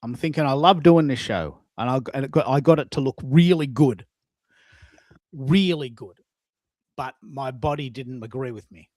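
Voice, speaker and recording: A man's voice: mid-range voice, normal speed, a little mellow, no room sound. An Australian man hosting a casual online chat show, emphatic and repeating phrases for stress. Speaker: host of a live online audio show.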